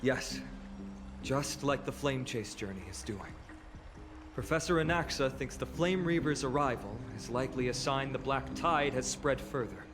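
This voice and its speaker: A man's voice: mid-range voice, expressive, normal speed, music in the background, lightly acted. Man playing a resolute young warrior, reverberant. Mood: determined, strategic. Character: young male warrior hero, earnest and resolute. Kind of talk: anime fantasy game voice acting.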